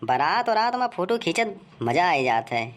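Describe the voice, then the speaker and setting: A man's voice: high-pitched, very animated, moderate pace, a bit bright, a little hiss or hum. A man playing a grumbling village man in a comic cartoon, conversational and rustic, with an Awadhi accent. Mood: pleased.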